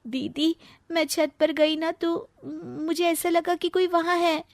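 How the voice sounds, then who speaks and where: Young Indian cartoon daughter-in-law, played by a woman: timid yet playful, squeaky high, close dry sound. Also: fairly even intonation, slow pace, dry recording, exaggerated voice. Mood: pleading, scared. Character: timid but playful young sister-in-law, easily frightened. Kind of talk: animated moral story character acting.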